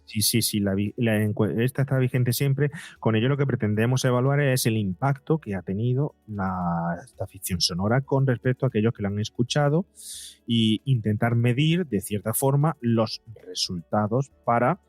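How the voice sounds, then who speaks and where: A man with a mid-pitched voice talks as an interview guest. He explains in a wordy, halting way, checking in with short tag questions. The sound is dry, with faint music underneath. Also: darkish tone, dry recording. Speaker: interview guest, psychologist and podcaster.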